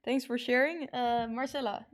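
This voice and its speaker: Higher-pitched young woman hosting radio. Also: fairly even intonation, normal speed. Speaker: radio show host. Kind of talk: upbeat show presenting and interviewing.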